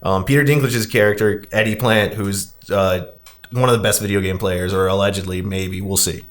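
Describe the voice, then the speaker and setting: A man's voice: low-pitched, fairly even intonation, normal speed, a bit bright. A man hosting a casual movie discussion, recapping in a conversational way with frequent asides. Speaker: podcast host.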